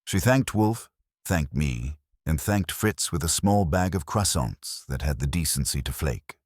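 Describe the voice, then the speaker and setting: A man reads detective fiction in a very deep voice. His intonation moves freely while the delivery stays measured and wry. The sound is dry, with little room on it. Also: expressive, darkish tone, dry recording. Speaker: audiobook narrator. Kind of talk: first-person detective story narration.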